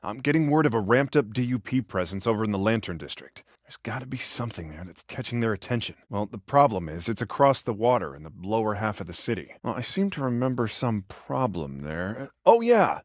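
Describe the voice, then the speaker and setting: An actor playing an exasperated, protective older-brother police officer in a video game, sarcastic and by-the-book, talking with conversational hesitations. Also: male voice, lowish voice, lively intonation, a little fast, hardly any pauses, no room sound, studio quality. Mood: sarcastic, dry. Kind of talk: video game voice acting, one side of phone conversations.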